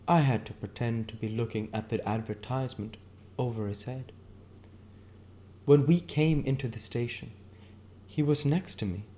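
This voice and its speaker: Man putting on a high-pitched, gushing, brash woman. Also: dark tone, slight background noise, lightly acted. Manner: dramatic, gossipy. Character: brash, gushing, affected married woman in a 1920s novel. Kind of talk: character voice in an audiobook reading.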